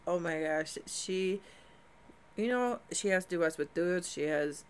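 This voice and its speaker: A woman's voice: fairly low pitch, expressive, slow pace, smooth. A middle-aged woman chatting as a music reaction vlogger in a small room at home, enthusiastic and rambling, often restarting her sentences and laughing. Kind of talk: casual reaction chat.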